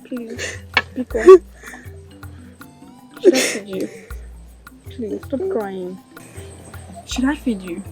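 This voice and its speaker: A woman's voice: fairly high pitch, expressive, drawn-out words, long pauses, exaggerated voice. An actress with a Nigerian accent playing a kind, protective young village woman, coaxing and pleading in a film melodrama. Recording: film dialogue with music behind.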